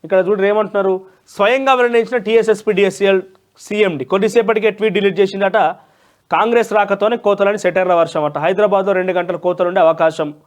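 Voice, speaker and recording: A man's voice: high-pitched, steady tone, quick pace. A man with a Telangana Telugu accent presenting a newspaper review with opinionated commentary in a conversational, emphatic way. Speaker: news presenter.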